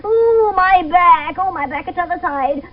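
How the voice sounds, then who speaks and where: A woman's voice: very high-pitched, lively intonation, a little slow, slight background noise. A woman playing a teasing, complaining, bawdy old nurse in a Shakespeare radio play, dawdling over her words. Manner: dawdling, groaning, chuckling. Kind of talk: 1970s radio Shakespeare acting. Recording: muffled phone-like vintage radio broadcast, distant.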